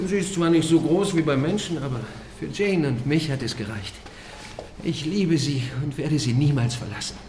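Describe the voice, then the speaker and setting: A man's voice: on the high side, normal speed, darkish tone, a little hiss or hum, lightly acted. An actor playing a polite, lovesick male robot in a radio drama, earnest, deferential and pleading. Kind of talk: German radio drama acting. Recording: music playing behind the voice.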